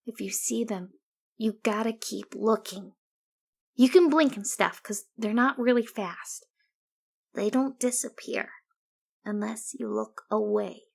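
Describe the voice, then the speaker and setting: A woman voicing an odd female pony in a storybook, giving halting, cryptic warnings in simple, childlike phrasing. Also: fairly high pitch, gravelly, a little mellow, full character voice. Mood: ominous, earnest.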